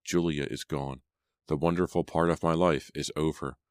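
A man's voice: a bass voice, steady tone, moderate pace, dry recording, clean studio sound. A man voicing a horrified grieving father who sputters and sobs. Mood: anguished. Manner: sputtering, sobbing.

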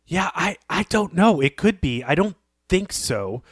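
A man co-hosting a podcast, giving reflective, measured literary analysis in a conversational style. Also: high-pitched, very animated, husky, no echo, clean studio sound. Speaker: podcast co-host.

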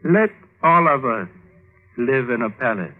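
A man's voice: mid-range voice, animated, unhurried. A man playing a spry, genial old man near seventy in a classic radio drama, speaking in a courtly way. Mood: genial, inviting. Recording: narrow-band old radio recording.

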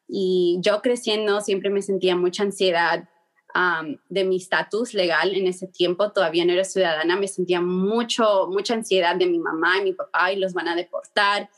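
A young woman, answering interview questions in a halting way many fillers and restarts, slipping words in. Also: mid-range voice. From Peru. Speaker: guest, journalist.

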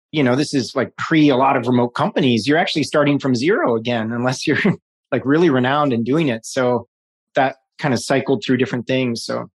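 A man's voice: mid-range voice, breathy edge. A man in his forties from New York City, a guest talking loosely in an interview, with lots of restarts and fillers and frequent laughs. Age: 40s. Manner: rambling, many restarts and fillers, laughs often. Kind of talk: casual interview conversation.

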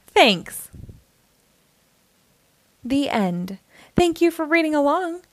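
A woman reads a children's story aloud. Her voice sits fairly high and she takes her time, phrasing each part expressively. The sound is dry and close. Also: lively intonation, no echo. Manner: measured, clearly phrased. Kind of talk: expressive read-aloud narration.

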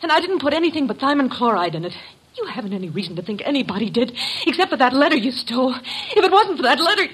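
A woman playing a defensive, fiercely loyal young laboratory assistant in an old-time radio drama, emphatic and excitable. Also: high voice, quick pace, slightly rough, heavily acted, rough recording. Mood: defiant, frantic. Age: young adult.

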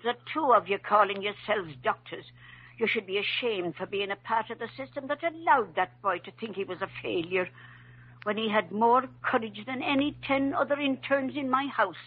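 Husky, feisty landlady. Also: a little high, normal speed. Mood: indignant. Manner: indignant, plain-spoken, folksy. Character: feisty, motherly Irish rooming house landlady. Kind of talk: 1940s radio drama acting. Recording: dull old radio recording.

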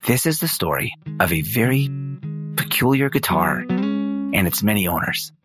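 A folksy male storyteller in an audio drama, musing and unhurried. His low voice swings expressively through deliberate pauses. Guitar music plays clearly behind him. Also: sing-song. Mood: musing, intriguing. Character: musing storyteller narrator, a man picking guitar. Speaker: narrator and guide.

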